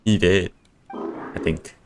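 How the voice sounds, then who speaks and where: A man's voice: slow pace, darkish tone, whispered. A man streaming a game, giving casual, muttered commentary as he plays. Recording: game music behind the voice.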